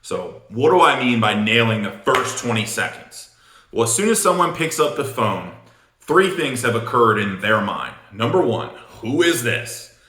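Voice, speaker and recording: A man's voice: medium pitch, sing-song, unhurried, sharp tone. A man giving an instructional sales talk as a coach, emphatic and informal, blunt, repeating words for punch. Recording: roomy, echoing room sound.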